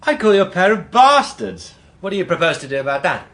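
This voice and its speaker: An actor playing a polite, self-possessed gentleman in a radio drama, measured and well-spoken. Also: sits high, very animated. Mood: defiant. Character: self-possessed gentleman posing as a visiting businessman.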